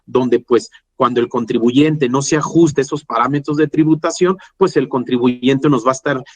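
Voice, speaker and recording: A man's voice: medium pitch, moderate pace. A man with a Mexican accent giving a professional webinar explanation, often adding tag questions to check agreement. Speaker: webinar panelist, tax litigation specialist.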